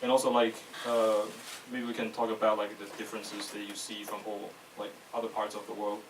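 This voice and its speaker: A man's voice: fairly low pitch, level tone, brisk. Echoey, distant man moderating a panel. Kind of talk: informal live panel moderating in English and Mandarin. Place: live talk event in a room. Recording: echoey room, distant mic, faint noise.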